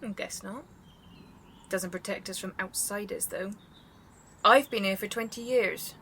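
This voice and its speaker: An actress playing a suspicious, stubborn and blunt long-time resident in a naturalistic audio drama. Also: female voice, medium pitch, a little slow, vocal fry, a bit bright, a little hiss or hum.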